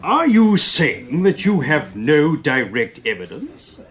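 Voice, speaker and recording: An actor playing a formal, probing coroner with an English accent, questioning witnesses deliberately in a radio drama. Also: male voice, a little high, huge pitch swings, a bit bright, full character voice. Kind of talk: radio drama courtroom acting.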